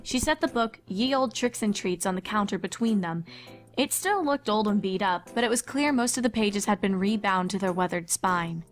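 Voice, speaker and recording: Woman, story narrator, mid-range pitch, scripted reading, faint background music. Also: expressive, moderate pace.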